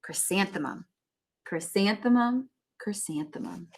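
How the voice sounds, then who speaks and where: A woman teacher reading a storybook aloud to young children, with expressive emphasis and chatty asides to them. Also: mid-range voice, measured pace, low-quality recording.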